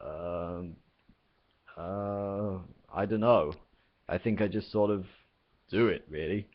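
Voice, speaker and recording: A man voicing a hulking young alien guard who bellows slogans and talks slowly and dully. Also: low voice. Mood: slow, baffled. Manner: bellowing slogans, slow and dull-witted in talk.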